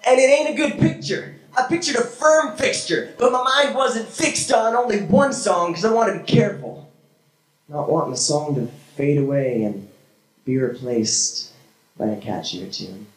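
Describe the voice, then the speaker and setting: A young man performing spoken word poetry on a small church stage with a handheld mic, dramatic and swinging from hushed to shouted. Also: sits high. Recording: echoey room from a far camera.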